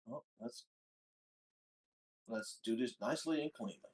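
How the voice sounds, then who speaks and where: A man talking casually as a player in a tabletop game, in a measured, polite way. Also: mid-range voice, darkish tone, slightly rough.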